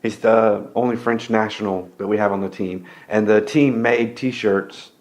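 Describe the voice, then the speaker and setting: A man's voice: on the low side, steady tone, a little slow, a little mellow. Laughing man hosting a podcast. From Tennessee, US. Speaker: podcast host. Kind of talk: casual chat.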